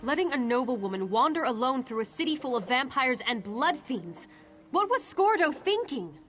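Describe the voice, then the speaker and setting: High-voiced commanding woman leader. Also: very animated, moderate pace. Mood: indignant. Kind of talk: scripted video game dialogue. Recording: clear background noise and clicks.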